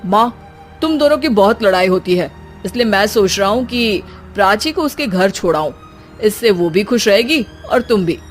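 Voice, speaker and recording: A woman's voice: medium pitch, expressive, normal speed, faint music behind, lightly acted. A woman voicing an exasperated young married son in a family cartoon, teasing and wearily scolding. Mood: sly, composed.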